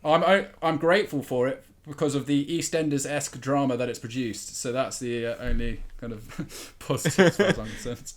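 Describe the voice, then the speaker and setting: A young man giving hesitant, measured opinions as a football podcast panellist. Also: mid-range voice. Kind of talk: casual football discussion.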